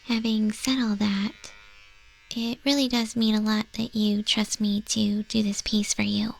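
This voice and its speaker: A woman voicing a gentle, sincere moth monster girl tattoo artist in a soft, breathy, tender ASMR roleplay. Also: medium pitch, level tone, measured pace, rough recording. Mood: tender, earnest.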